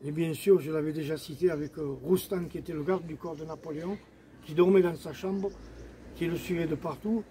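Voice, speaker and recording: Higher-pitched man with a mellow voice. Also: steady tone, fairly quick. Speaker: guest, association president. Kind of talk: explanatory commemorative talk to camera. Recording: faint background ambient noise.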